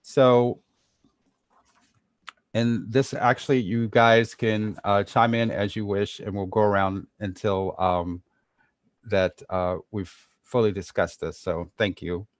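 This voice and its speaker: A man with a fairly low voice moderates a discussion at a slow pace. The sound is dry and close, and his phrasing is polite, informal and a little hesitant. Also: fairly even intonation, no echo. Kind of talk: moderating an online roundtable.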